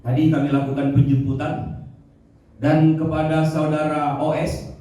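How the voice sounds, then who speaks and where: A man giving a formal press statement as an official, in halting, measured short phrases, recorded in a very echoey room from a distant microphone. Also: fairly high pitch, level tone, warm tone. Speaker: prosecution official briefing the press.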